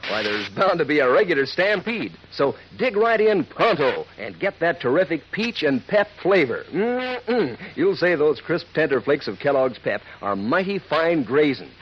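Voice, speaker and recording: A hearty American man sells like an old-time radio pitchman, folksy and exclamatory. His slightly high voice is very animated, on a dull recording with faint noise. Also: normal speed, few pauses. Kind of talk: 1940s radio ad read with cowboy slang.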